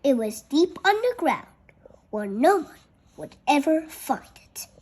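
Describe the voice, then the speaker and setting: A storyteller reading a picture book aloud in a careful, halting way, with childlike, chatty asides about the pictures. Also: high-pitched, very animated, darkish tone, slight background noise.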